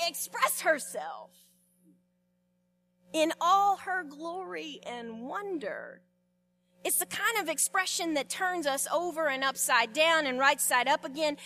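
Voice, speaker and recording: A woman pastor delivering a sermon, telling the story expressively with repetitions and dramatic pauses. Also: high voice, sharp tone.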